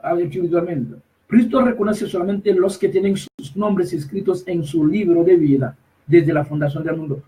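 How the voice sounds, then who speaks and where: A man with a fairly high voice preaches insistently, repeating himself and breaking off mid-phrase. He stands some distance from the microphone, so his voice sounds set back in the space. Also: darkish tone. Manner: emphatic, repetitive, halting with restarts. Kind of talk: preaching commentary.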